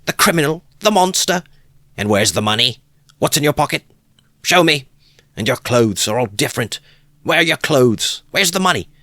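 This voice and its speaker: Frenzied shrieking wife in an audiobook, a man reading her in a raised, loud, dry voice. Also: lively intonation, moderate pace, dry recording. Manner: shrill, frenzied cries. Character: frantic desperate woman, shrieking at her drunk husband.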